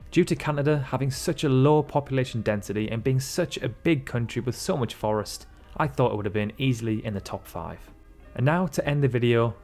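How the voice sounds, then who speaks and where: A man's voice: mid-range voice, darkish tone. A man narrating a scripted country comparison in an upbeat, emphatic way. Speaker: video narrator. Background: faint background music.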